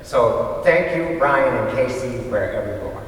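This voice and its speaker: A man presenting and telling stories on stage in a conversational but scripted, deliberate manner. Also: mid-range voice, lively intonation, fairly bright tone. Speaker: readers theater presenter and storyteller. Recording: echoey hall from far off, faint noise.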